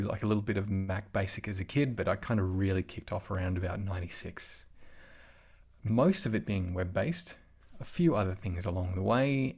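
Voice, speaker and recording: A man's voice: fairly low pitch, a little mellow. An Australian man giving an online tech talk over a video call, chatty, with self-deprecating asides. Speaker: conference speaker.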